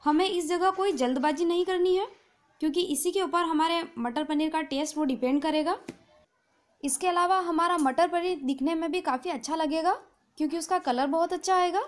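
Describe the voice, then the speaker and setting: High-voiced woman calmly explaining a recipe. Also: moderate pace. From India. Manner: calm, patient, instructive. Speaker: cooking channel host. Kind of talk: step-by-step recipe voice-over.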